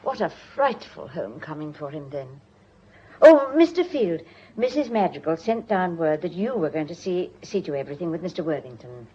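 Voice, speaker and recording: A fussy, deferential lady in a British radio play. Her voice is husky, her delivery hesitant and anxiously polite. The recording sounds dull. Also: low voice, sing-song, moderate pace, warm tone, exaggerated voice. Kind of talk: British radio drama acting.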